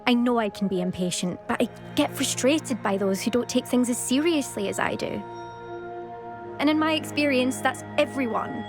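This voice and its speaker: A woman playing a haughty, competitive teenage schoolgirl in a video game, brisk and needling as she talks. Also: fairly high pitch, lightly acted. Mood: cocky challenge. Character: cocky, competitive teenage schoolgirl broom racer, impatient and proud. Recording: music behind the voice.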